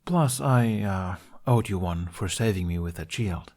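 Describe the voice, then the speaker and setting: A man's voice: deep voice, expressive, a little slow, darkish tone. A man voicing a playful, curious young man with a cheeky, eager manner in a story reading. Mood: amused.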